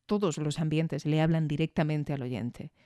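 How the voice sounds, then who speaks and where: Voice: mid-range voice, fairly even intonation, normal speed, warm tone, dry recording. A podcast host giving thoughtful, explanatory commentary on an audio drama. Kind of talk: reflective commentary.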